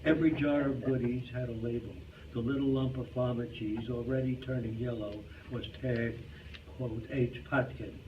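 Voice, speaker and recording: A man's voice: mid-range voice, flat delivery, moderate pace. Muffled man reading a humorous story. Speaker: reader at a reader's theater club. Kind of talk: scripted humorous narration read to an audience. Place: club hall, live performance. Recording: muffled, noisy, distant live hall recording.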